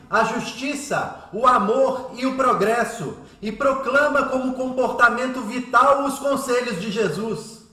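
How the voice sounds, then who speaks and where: A soft-spoken, devout teacher around thirty in a radio drama. He reasons calmly and very slowly in a high voice, with clear room echo. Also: full character voice. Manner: gentle, measured, reasoned oratory.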